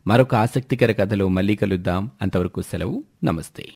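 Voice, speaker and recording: A man narrating a scripted moral tale as an expressive storyteller. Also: on the low side, normal speed, gravelly, breathy edge.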